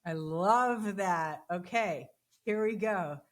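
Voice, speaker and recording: A woman's voice: mid-range voice, slow. Woman hosting a career podcast, chatty and loose, lots of ums. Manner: chatty, with fillers and repeated words. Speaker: podcast host. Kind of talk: conversational interview.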